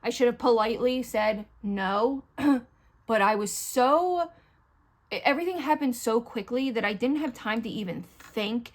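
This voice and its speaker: A woman's voice: fairly high pitch, unhurried. A middle-aged woman talking to the camera in a casual vlog, venting at length in a rambling, upset way. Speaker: vlogger.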